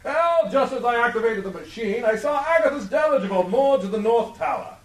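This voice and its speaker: High-voiced pompous adventurer hero. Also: male voice, expressive, a little fast. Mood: boastful. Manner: pompous, theatrical, declamatory. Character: vain, deluded male adventurer hero, grandiose and cheerful. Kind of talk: live comedic radio play acting. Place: live convention stage. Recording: echoey live stage, distant mic.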